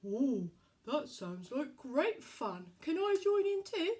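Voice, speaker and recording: A woman's voice: sits high, sing-song, takes it slowly, warm tone, a little hiss or hum, full character voice. A friendly badger from a puppet show, played by a woman, full of kindly interest. The voice swoops up and down with eager curiosity.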